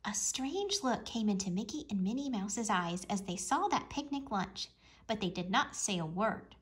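A woman reading a children's storybook aloud with expressive, deliberate storytelling. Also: mid-range voice. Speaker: storybook reader. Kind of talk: children's read-aloud narration.

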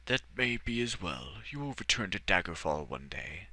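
Narrator's kindly old male archmage, measured and gentle. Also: low-pitched, big pitch swings, no echo, voice pushed up, exaggerated voice. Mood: calm, reassuring.

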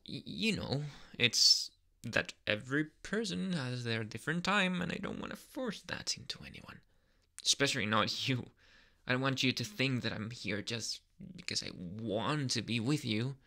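A man's voice: medium pitch, creaky, pushed and bright, heavily acted. A man playing a flustered tsundere anime boy with a secret crush, stammering and hesitant in an intimate ASMR boyfriend roleplay.

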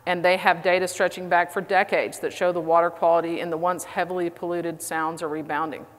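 A middle-aged American woman gives a conference talk in a lower-pitched voice. Her delivery is casual and enthusiastic, with the odd "um" as she walks through her slides. The sound carries the long reverb of a conference hall. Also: little pitch movement, brisk. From United States. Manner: casual, enthusiastic presenting with fillers. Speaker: conference speaker. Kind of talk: conference presentation.

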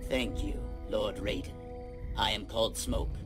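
An actor playing a stern, loyal ninja warrior who speaks in formal, terse, earnest sentences. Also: male voice, fairly low pitch, fairly even intonation, unhurried, music in the background, lightly acted. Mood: earnest, urgent.

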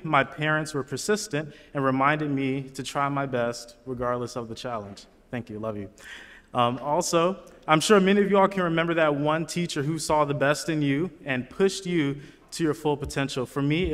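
A young man from Chicago speaks at a lectern, his voice sitting a little high for a man. He is earnest and chatty, throwing casual asides to the crowd. The sound rings with the long reverb of a big auditorium. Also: moderate pace, fairly bright tone. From Chicago, USA. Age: young adult. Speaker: student commencement speaker. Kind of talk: commencement speech. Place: large auditorium stage. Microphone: lectern microphone.

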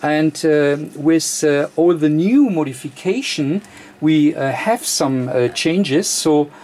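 A man who is a non-native English speaker gives a technical walkthrough as an electronics presenter, speaking haltingly with many uhs. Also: on the high side, a little mellow. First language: not English. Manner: halting, hesitant, frequent uhs. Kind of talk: technical walkthrough of a radio modification. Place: indoor electronics workbench, off camera.

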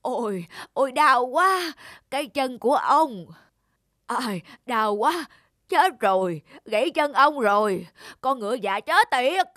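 A woman voicing a bossy, impatient, grumbling stout older man in an animated folk tale. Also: high-pitched, takes it slowly, heavily acted. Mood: pained. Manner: impatient, scolding, complaining. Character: grumpy, bossy, stout older male master.

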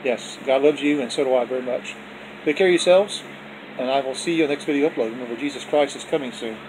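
Brief: folksy male home vlogger at the dinner table, phone-recorded, air-conditioner hum clearly audible behind him. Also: mid-range voice, fast talker. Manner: folksy, repetitive, with religious blessings. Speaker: vlog host showing his dinner. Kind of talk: casual off-camera food vlog. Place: indoors at home, dining table.

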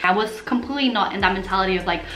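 A woman's voice: medium pitch, rapid-fire, soft background music. Chatty young woman in an echoey room. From Portugal. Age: young adult. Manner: chatty, animated, gestures with hands while talking. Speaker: vlogger. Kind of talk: casual talking-head vlog.

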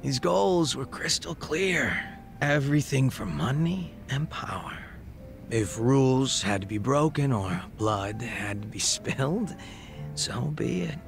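A man voicing a laid-back, wistful gang leader in his thirties in a video game, reflective, unhurried and casually profane, with a gravelly voice. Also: medium pitch, big pitch swings, soft background music, full character voice. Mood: nonchalant. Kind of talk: video game cutscene dialogue acting.

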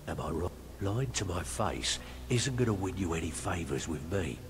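Shady middle-aged fixer, deep and gruff, fantasy game, shrewd, plain-spoken, noisy background. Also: male voice, animated, normal speed. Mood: knowing, stern. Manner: blunt, colloquial, streetwise. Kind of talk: fantasy video game dialogue acting. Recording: noisy game capture with ambience.

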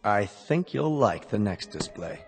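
An actor playing a smooth company salesman in a radio drama, with polished, reassuring patter. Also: fairly low pitch, very animated, a little slow, heavily acted. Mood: courteous, selling. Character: smooth persuasive salesman of replacement android bodies. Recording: hall reverb with music behind.

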